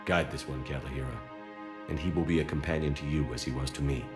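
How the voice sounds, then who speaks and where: A resolute military commander in a video game. His voice is low, and he speaks in a controlled, direct, stoic way. Music plays underneath. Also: fairly even intonation, fast talker. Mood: somber. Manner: firm, plain, controlled.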